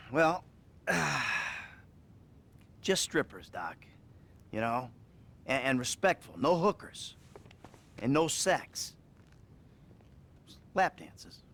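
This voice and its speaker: Video game role: middle-aged American crook in therapy, sighing, self-loathing and wry, with fry in the voice and lively intonation. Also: male voice, medium pitch, very slow, long pauses, exaggerated voice. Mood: sheepish. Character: troubled middle-aged male criminal confessing to his therapist. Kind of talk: naturalistic video game acting.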